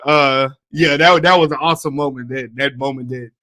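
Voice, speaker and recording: Male sports-podcast co-host, mid pitch, easygoing and chatty, dry close sound. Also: brisk, no echo. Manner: relaxed, chatty, laughs easily, restarts words. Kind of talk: casual podcast chat.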